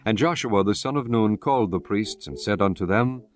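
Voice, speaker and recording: A man narrating scripture in measured, steady King James English. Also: lowish voice. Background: guitar music.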